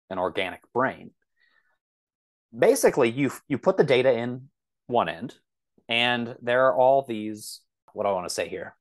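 A man talking as a podcast guest, explaining things casually and thinking aloud as he searches for words. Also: mid-range voice.

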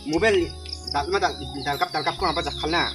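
A man's voice: fairly high pitch, normal speed. Young man chatting in an outdoor skit. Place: outdoors in a village yard. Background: background music.

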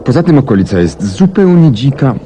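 An actor playing a methodical, self-assured English detective in a 1970s Polish radio play, telling things in a measured, deliberate way with emphatic swings. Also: male voice, medium pitch, sing-song, warm tone, close to an everyday voice. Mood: methodical, intent. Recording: noisy old 1970s radio recording.

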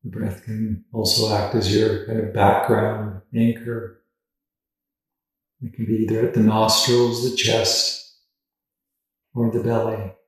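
Male meditation guide, even and very slow, gentle delivery with long pauses, recorded in a heavily echoing space. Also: lowish voice. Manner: gentle, unhurried, with long pauses between phrases. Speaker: meditation teacher. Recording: very echoey room, distant from mic.

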